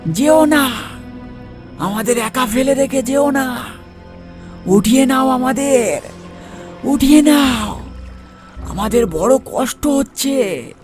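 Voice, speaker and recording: A man voicing pleading drowned river spirits in a ghost story, with drawn-out, plaintive begging. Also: very high voice, animated, soft background music, voice pushed up, exaggerated voice. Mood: pleading, anguished.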